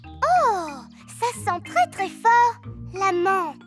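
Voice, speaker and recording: Cartoon piglet girl: tiny, very high voice, inquisitive and sing-song, music behind. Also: very animated, very slow, heavily acted. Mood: excited. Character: chirpy, curious little girl piglet. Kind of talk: dubbed preschool cartoon acting.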